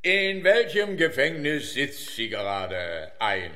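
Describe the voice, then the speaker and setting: An actor playing a smug, bumbling police officer in a comic radio play, speaking in a theatrical, officious way. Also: male voice, medium pitch, very animated, takes it slowly, sharp tone, full character voice. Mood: officious, triumphant. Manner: theatrical, officious, muddles his sayings.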